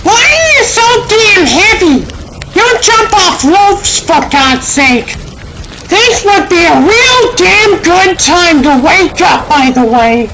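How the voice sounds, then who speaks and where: A woman's voice: very high voice, big pitch swings, slow, full character voice. A woman voicing a feisty, wisecracking young antiheroine in a comic dub, sassy and exasperated. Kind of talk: fan comic dub acting. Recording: clear noise behind voice.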